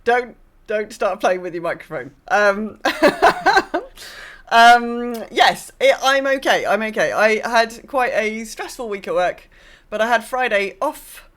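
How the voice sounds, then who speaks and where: A woman's voice: on the high side, expressive, slow pace, fairly bright tone. A woman chatting casually as a podcast co-host. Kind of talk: casual chat.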